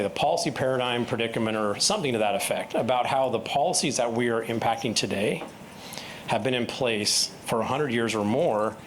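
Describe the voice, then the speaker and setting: A man's voice: mid-range voice, a little hiss or hum. A man introducing a conference panel in a loose way, often searching for words. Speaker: event host introducing a panel. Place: conference room.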